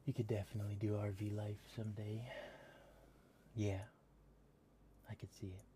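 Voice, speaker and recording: A man whispering softly as he chats with viewers on a late-night ASMR stream. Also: on the low side, level tone, slight background noise. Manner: soft whisper, slow and drawn out. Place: home at night.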